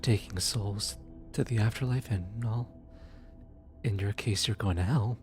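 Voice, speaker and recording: A man playing a gentle, awkward demon soul collector in an ASMR audio roleplay, speaking softly, tenderly and a little haltingly to comfort the listener. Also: fairly low pitch, music in the background, close to an everyday voice. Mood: sympathetic. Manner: hesitant, halting, tender, casual.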